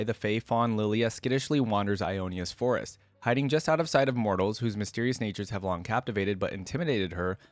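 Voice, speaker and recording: A man's voice: medium pitch, no echo. A man hosting a reaction channel, talking casually and reading aloud as he comments. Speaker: host of a game lore reaction channel.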